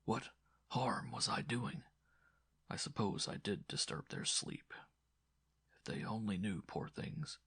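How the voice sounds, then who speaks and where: A poor tramp in a dark story, played by a male narrator, downtrodden and earnest. His voice has a gravelly grain and is recorded dry and close. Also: fairly low pitch, slow pace, pauses often, no room sound, whispered, exaggerated voice. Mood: hushed, brooding. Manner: murmured brooding thoughts or urgent calls. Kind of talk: audiobook horror story acting.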